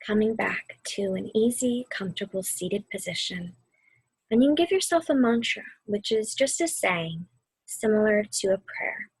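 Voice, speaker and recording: A woman with a soft, breathy voice gently guiding children through a mindfulness meditation, soothing and deliberate. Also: mid-range voice, expressive, dry recording, low-quality recording. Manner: soothing, gentle, deliberate, often dropping to a near-whisper. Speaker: mindfulness instructor and host of a weekly video series.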